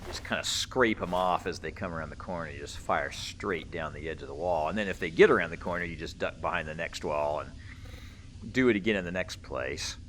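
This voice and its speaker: A man's voice: low voice, fairly quick, a bit bright. A man giving casual commentary as he plays a video game. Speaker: gamer, let's play host. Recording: faint game noise behind. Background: video game sounds.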